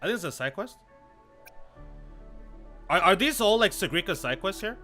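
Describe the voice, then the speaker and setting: A man's voice: sits high, animated, normal speed, sharp tone, faint music behind. A man hosting a live game stream with casual, slangy chat, often repeating himself as he greets viewers and comments.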